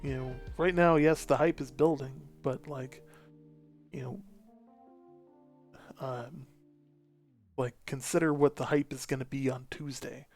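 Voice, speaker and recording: A man chatting casually and thinking aloud on a gaming show, rambling in a halting way. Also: medium pitch, steady tone, darkish tone, faint music behind. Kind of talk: casual chat.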